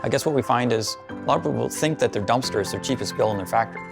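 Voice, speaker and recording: Fast-talking man with a gravelly voice. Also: mid-range voice, over music. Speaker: food waste consultant. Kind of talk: documentary interview.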